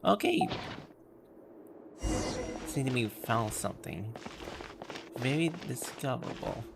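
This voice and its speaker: A man's voice: medium pitch, big pitch swings, takes it slowly, creaky, heavy background noise. A man livestreaming a game walkthrough, talking casually and hesitantly to himself as he plays. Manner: hesitant, muttering to himself while playing. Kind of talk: casual live walkthrough commentary. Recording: loud game music behind voice. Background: loud game music.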